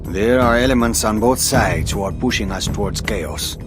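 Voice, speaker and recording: A man voicing a stern agency director in a video game. He speaks in a formal, authoritative way, at a middle pitch. Music runs clearly under him. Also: moderate pace, lightly acted. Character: stern male head of anti-mutant agency. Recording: game audio with music behind.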